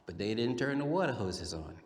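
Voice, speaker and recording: A man performing a story for children as a puppeteer, in a dramatic, emphatic manner with repeated phrases and drawn-out words. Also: lowish voice, big pitch swings, breathy edge. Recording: echoey hall with long reverb.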